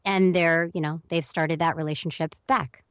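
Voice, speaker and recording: Chatty young woman, low voice. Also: fairly even intonation, normal speed, fairly bright tone, no echo, studio quality. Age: young adult. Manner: chatty, explanatory, acts out quoted messages. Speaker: voiceover talent guest. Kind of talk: casual podcast interview.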